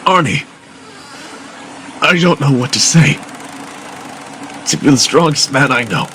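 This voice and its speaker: A noble captain and superhero, acted by a man, earnest and heartfelt, with a forceful, bright voice. His pitch moves freely, and faint hiss sits under this audio drama performance. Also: expressive, slow. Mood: moved, accepting.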